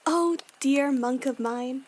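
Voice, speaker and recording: A woman plays a young female demon slayer with a high voice. She comes across kind, earnest and sisterly, with a romantic streak. It is fan drama acting with faint noise underneath. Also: darkish tone. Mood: admiring, dreamy.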